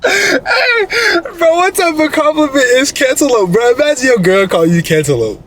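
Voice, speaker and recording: A man's voice: very high voice, very animated, normal speed, a crowd in the background. A man co-hosting a casual reaction commentary, slangy and rambling, talking straight to his audience. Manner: slangy, rambling storyteller who addresses the audience. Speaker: commentary co-host.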